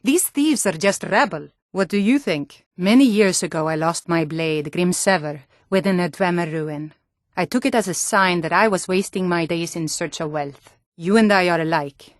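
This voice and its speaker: Actress as Nord warrior woman, lowish voice, earnest and proud, deliberate fantasy game dialogue, dry sound. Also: dry recording, natural voice. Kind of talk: scripted video game character dialogue.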